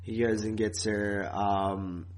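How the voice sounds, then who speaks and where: A man's voice: lowish voice, little pitch movement, slow pace. Man chatting casually on a podcast. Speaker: podcast co-host. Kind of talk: casual chat recapping a TV series.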